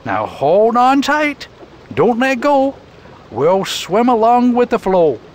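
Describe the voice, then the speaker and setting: A man voicing a kind, steady male river animal in a read-aloud storybook, gentle and reassuring. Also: extremely high pitch, slow pace, voice pushed up, heavily acted. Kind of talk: storybook character voice.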